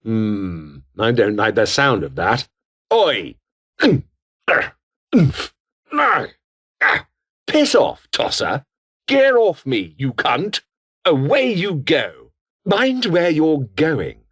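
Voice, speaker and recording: A British revolutionary foot soldier in a video game. His pitch leaps and drops dramatically, and he takes his time over the words. He is foul-mouthed, taunting and full of menace, with theatrical delivery. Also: sing-song, slow. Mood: suspicious, irritated. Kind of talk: video game combat barks.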